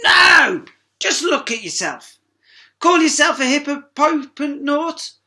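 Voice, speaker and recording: Woman doing a loud, pompous male hippo explorer. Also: high voice, big pitch swings, slow, voice pushed up, exaggerated voice. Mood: furious. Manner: blustering, haughty, emphatic.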